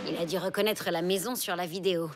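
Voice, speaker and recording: A woman's voice: fairly low pitch, steady tone, fast, lightly acted. A woman voicing a sardonic, teasing, unimpressed female character in a French children's cartoon. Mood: sarcastic. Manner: sarcastic, teasing. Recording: loud background music under the voice.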